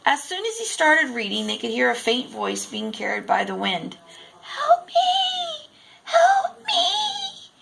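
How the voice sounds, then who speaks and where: A woman reading a children's storybook aloud in expressive, deliberate narration. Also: a little high, creaky, background noise. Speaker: storybook reader.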